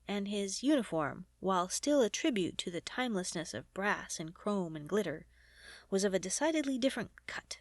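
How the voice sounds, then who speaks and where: A woman narrating a story as an audiobook reader, in an expressive, animated storytelling manner. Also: mid-range voice, dry recording, studio quality.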